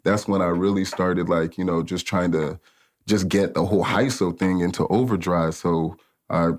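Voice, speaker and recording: A man in his late twenties from Africa, a studio owner telling his story in a relaxed, rambling way as a podcast guest, full of slang and fillers. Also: low-pitched, moderate pace. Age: late 20s.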